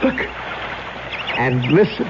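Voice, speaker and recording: Man acting a halting pleading ghost, theatrical radio drama, dull sound, faint noise. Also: close to an everyday voice. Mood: awed, tender. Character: intense pleading ghost of a man who took his life. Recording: dull, noisy old radio recording.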